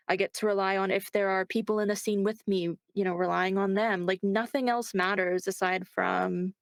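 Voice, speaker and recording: A woman's voice: medium pitch, vocal fry, no room sound. A woman voice actor being interviewed, talking conversationally and reflectively, often breaking off to correct herself. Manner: chatty, self-interrupting, reflective.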